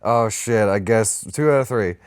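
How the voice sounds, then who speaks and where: A man's voice: lowish voice, moderate pace, very noisy. A man co-hosting a podcast in casual, joking banter, throwing in wisecracks and swearing. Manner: wisecracking, profane interjections. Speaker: podcast co-host.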